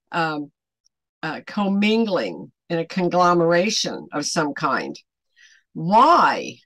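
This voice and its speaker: A woman's voice: fairly low pitch, a bit bright, no room sound. An older woman of about seventy giving an unscripted, rambling devotional talk to camera, emphatic and fond of rhetorical questions, as an online ministry host. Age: older, around 70. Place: small furnished home room, indoors.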